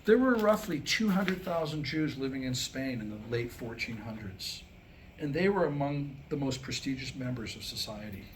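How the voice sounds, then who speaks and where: A man's voice: medium pitch, animated, normal speed, slight background noise, poor audio. A man giving a staged reading of his own script, mixing comic monologue with history storytelling in a wry, rhetorical way. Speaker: writer reading a comedic script aloud. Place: indoor staged reading with a few listeners reacting.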